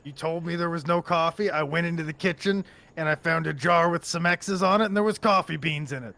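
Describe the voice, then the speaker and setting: A man plays a tabletop roleplaying game in casual banter, slipping in and out of character. His voice sits a little high and carries heavy creak. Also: brisk, bright, forceful tone.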